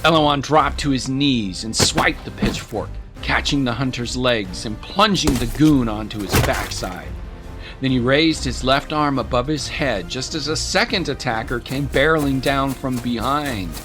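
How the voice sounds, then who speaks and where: A man delivering dramatic scripted narration for an audio drama in a measured, descriptive storytelling manner. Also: medium pitch. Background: music bed.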